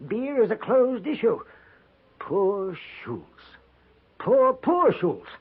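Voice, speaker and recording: A man's voice: sits high, sing-song, slow, slightly rough, exaggerated voice. A man playing a fussy, nervous army sutler in a 1950s western radio drama, with an American accent and a dithering, florid, melodramatic delivery. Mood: flustered, lamenting. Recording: muffled vintage 1950s radio recording.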